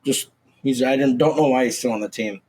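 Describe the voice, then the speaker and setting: A man's voice: medium pitch, brisk, darkish tone, breathy edge. Brash young man, slightly scratchy voice. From Minnesota. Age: 20s. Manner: brash, swearing, rambling with many fillers. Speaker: podcast co-host. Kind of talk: casual sports chat.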